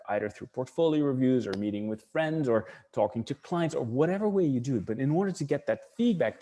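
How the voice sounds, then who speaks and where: A middle-aged Dutch man with a clear Dutch accent speaks English while leading an online webinar. His voice is warm and mellow, and his delivery wanders as he thinks aloud, doubling back on words and restarting sentences. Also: mid-range voice. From Netherlands. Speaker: webinar presenter.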